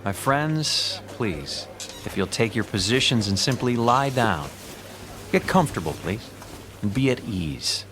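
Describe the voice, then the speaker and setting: Male hypnotist, acted, mid-pitched, courteous, soothing, measured, coaxing, radio drama, faint music. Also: lively intonation, natural voice. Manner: polite, formal, measured, coaxing. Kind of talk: audio drama acting.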